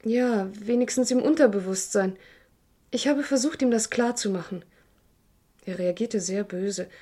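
An actress playing a composed, frank woman under police questioning in a crime radio play, measured and articulate. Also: mid-range voice, mellow, close to an everyday voice. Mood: candid, troubled. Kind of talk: German crime radio drama acting.

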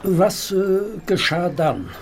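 A Victorian Scotland Yard inspector, with a fairly high male voice. He is brisk, curt and commanding, in radio drama style, with noticeable noise behind him. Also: sing-song, exaggerated voice. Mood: businesslike. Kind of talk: German radio play acting.

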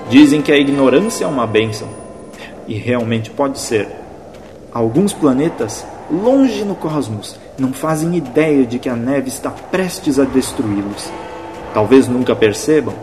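A Brazilian man playing a whimsical, theatrical storyteller in an audio drama, addressing the listener directly and dramatically. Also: mid-range voice. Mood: wistful. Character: whimsical, theatrical male storyteller of a sci-fi Christmas tale. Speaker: narrator. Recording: long reverb, music bed behind.

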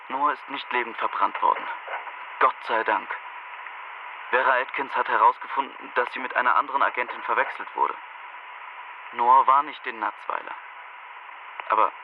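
Radio play casting: actor as bereaved brother on a call, subdued, halting, dull sound with clear noise behind. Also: male voice, medium pitch, lightly acted. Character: grieving brother breaking bad news, subdued and halting. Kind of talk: radio drama, telephone conversation.

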